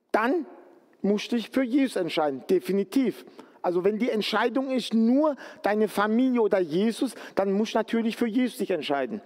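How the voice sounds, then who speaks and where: Guy from turkey giving a sermon, kinda high voice, earnest and chatty, big hall echo. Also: darkish tone. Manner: earnest, conversational, pleading at times. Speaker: preacher.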